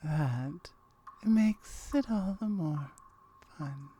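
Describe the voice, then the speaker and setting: Aloof female gorgon for ASMR roleplay, played by a woman: very slow drawn-out murmur, dark mellow tone, slyly flirtatious. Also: low-pitched, lively intonation, husky, full character voice. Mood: teasing, intrigued.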